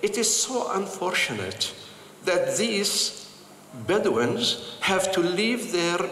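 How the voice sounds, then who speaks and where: An older man from Palestine tells his own stories to a crowd, his slightly high voice rising and falling in lively swings. He speaks earnestly, pausing often. The sound carries the long echo of a big hall. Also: a little hiss or hum. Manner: earnest, animated storytelling with frequent pauses. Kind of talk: personal storytelling talk. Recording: echoey hall with light ambience.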